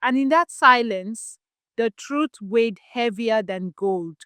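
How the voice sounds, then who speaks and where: A woman narrating a scripted folktale as a storyteller, in a solemn, deliberate, dramatic manner. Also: fairly high pitch, sharp tone, clean tone, dry recording.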